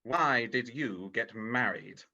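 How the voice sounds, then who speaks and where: Scornful old skinflint male actor, forceful and dismissive, highly animated radio-play delivery, lo-fi call audio. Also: slow pace, creaky, full character voice. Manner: curt, sneering, dismissive. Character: miserly, scornful old businessman uncle and harsh boss. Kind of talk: vintage radio drama acting. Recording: dull, lo-fi video call.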